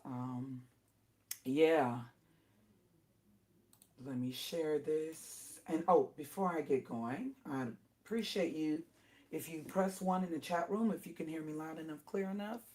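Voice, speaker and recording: A middle-aged woman with a low alto voice, hoarse and strained, hosting a casual livestream and talking in halting stops and restarts. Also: mellow. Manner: halting, with many restarts and apologies. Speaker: livestream host.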